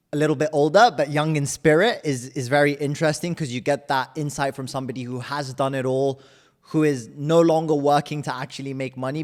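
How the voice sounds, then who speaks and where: A man hosting a podcast interview, asking questions enthusiastically and often repeating words as he starts a sentence. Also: medium pitch. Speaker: podcast host. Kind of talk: interview questions, casual chat. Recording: long hall reverb.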